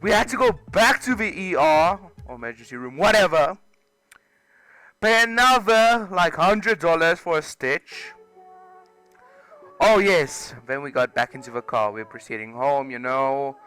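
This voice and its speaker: A man's voice: on the high side, unhurried, bright, forceful tone, smooth, rough recording. A young man, telling a personal story casually with exaggerated comic emphasis, over music. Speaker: video creator.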